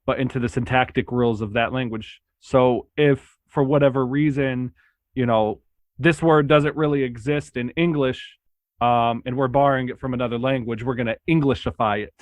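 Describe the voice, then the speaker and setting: A man hosting a casual podcast chat, relaxed and heavy on filler words. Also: moderate pace, no echo. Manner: relaxed, lots of "like" fillers, rises in pitch when excited. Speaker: podcast host.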